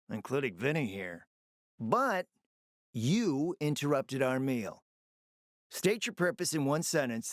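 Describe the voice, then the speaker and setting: Pompous, swooping-voiced decadent fixer. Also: male voice, a little high, no room sound, studio quality. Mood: haughty, disdainful. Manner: pompous, theatrical, condescending, savoring his words. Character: smug, decadent, flirtatious male gourmand fixer and supplier. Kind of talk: video game character acting.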